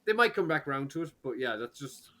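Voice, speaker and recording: A man's voice: fairly high pitch, big pitch swings, fast talker, pushed and bright. A man with an Irish accent, chatting loosely on a podcast with lots of fillers and restarts. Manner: rambling, hesitant, with many fillers. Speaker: podcast co-host. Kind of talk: casual chat.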